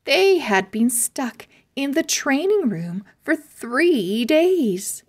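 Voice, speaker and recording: A woman narrating a children's storybook aloud as host, in an expressive and deliberate manner. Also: high-pitched.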